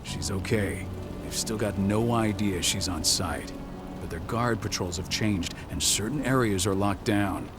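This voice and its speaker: Urgent low-voiced mission commander, a man acting. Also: measured pace, over loud music, natural voice. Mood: urgent, commanding. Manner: terse, authoritative. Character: urgent, commanding male comrade briefing a werewolf mission. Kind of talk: video game cutscene acting.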